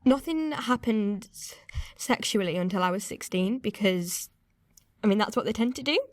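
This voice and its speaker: Actress as young woman, halting, candid, uneasy, confessional radio play, mid pitch, dry sound. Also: lively intonation, no room sound, lightly acted. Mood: uneasy, reflective. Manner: hesitant, halting, candid, swearing. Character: young woman uneasily recalling an abusive first relationship. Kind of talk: naturalistic confessional monologue.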